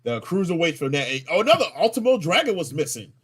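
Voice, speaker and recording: A man in his thirties hosting a casual wrestling chat, excitable and slangy, with plenty of swearing. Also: a little high, fast. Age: 30s. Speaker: podcast host.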